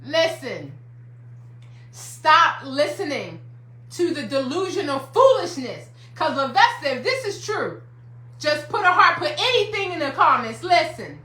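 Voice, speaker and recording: Woman in her fifties, high lively voice, emphatic motivational talk, roomy echo. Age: 50s. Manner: emphatic, repetitive, preacherly. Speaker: counselor and life coach.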